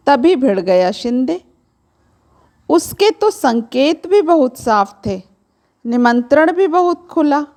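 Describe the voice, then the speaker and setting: High-pitched woman storyteller with unhurried delivery. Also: a little mellow. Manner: conversational, addressing listeners directly. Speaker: storyteller reading a short story aloud. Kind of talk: expressive first-person literary narration.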